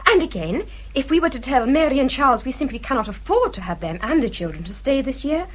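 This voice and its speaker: A woman's voice: high voice, sing-song, quick pace. An actress playing a proud, haughty young English lady in a radio drama, affected and precise. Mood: cool, complaining.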